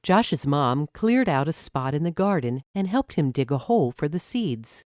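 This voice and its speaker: A woman's voice: sits low, sing-song, moderate pace, a little mellow, dry recording, clean studio sound. A woman narrating a scripted story for children.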